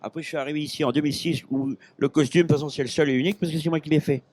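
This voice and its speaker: A man's voice: fairly high pitch, steady tone, rapid-fire, a little mellow. Rambling middle-aged man from Normandy. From Normandy, France. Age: 50s. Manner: rambling, hesitant, full of restarts and fillers. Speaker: interview guest, pig-call champion. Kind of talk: casual chat. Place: busy agricultural fair stand. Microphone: handheld mic.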